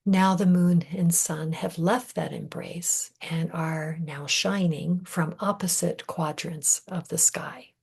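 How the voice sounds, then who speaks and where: Woman, meditation guide, unhurried delivery, lowish pitch, husky breathy voice trailing into whispers, gentle scripted narration. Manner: gentle, measured, with deliberate pauses.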